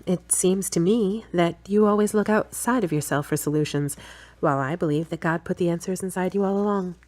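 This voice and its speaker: Woman playing a low-voiced, level-headed girlfriend. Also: fairly quick, darkish tone, lightly acted. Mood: calm, probing. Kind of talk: audio drama acting.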